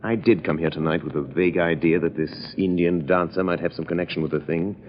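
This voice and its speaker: Casting: male actor as urbane gentleman detective, deep and grave, measured delivery, dull-sounding vintage radio thriller. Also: steady tone, natural voice. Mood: grave, resolute. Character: suave male amateur sleuth, grave and thoughtful. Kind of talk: 1940s radio acting. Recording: dull old radio recording.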